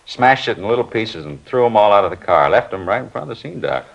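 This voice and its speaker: A man's voice: lowish voice, animated, fast, vocal fry. An actor playing a talkative film studio worker who rambles through his stories as a witness in a 1950s radio crime drama. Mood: chatty. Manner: chatty, rambling storyteller. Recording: muffled old radio recording.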